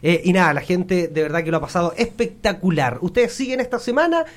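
A Chilean man hosting a live radio interview in an animated, chatty, jokey manner. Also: sits high, brisk. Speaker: radio host. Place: radio studio. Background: music.

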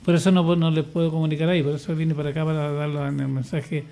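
A man's voice: on the high side, flat delivery, quick pace, creaky, mellow. An older man speaking in casual radio chat, hesitant and rambling, with many restarts and fillers. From Chile. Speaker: radio guest, church choir leader.

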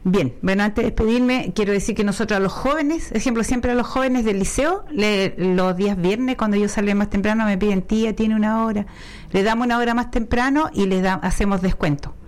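A woman's voice: mid-range voice, a little fast. A Chilean woman, a guest on a radio interview, chatting with frequent restarts. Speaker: guest, co-owner of a sports venue. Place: radio studio. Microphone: studio microphone.

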